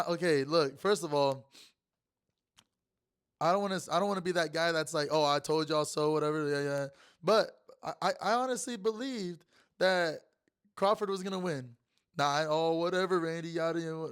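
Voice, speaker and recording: A young man with a raspy, hoarse voice from being sick, hosting a casual sports talk show with lots of fillers and repeated words. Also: on the high side. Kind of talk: casual sports commentary monologue.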